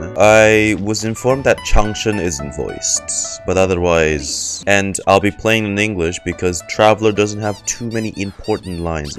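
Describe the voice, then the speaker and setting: A man streaming a casual game reaction, rambling wryly as he comments on the story. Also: low-pitched. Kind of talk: casual live reaction commentary with dramatic read-alouds.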